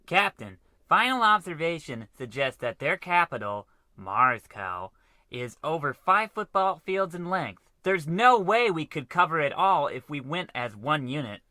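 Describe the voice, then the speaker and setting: An actor playing an earnest, dutiful spaceship navigator in a comic old-style radio adventure, reporting deferentially to a superior. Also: male voice, on the high side, very animated, unhurried, pushed and bright, dry recording, full character voice. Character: dutiful, eager young male spaceship navigator lieutenant.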